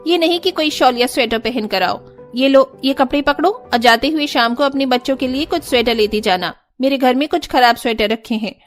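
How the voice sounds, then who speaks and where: Woman as a high-pitched bossy rich mistress. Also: a little fast, soft background music, close to an everyday voice. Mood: gruff concern. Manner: sharp, bossy, scolding. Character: stern, scolding rich mistress of the house. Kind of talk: Hindi cartoon story acting.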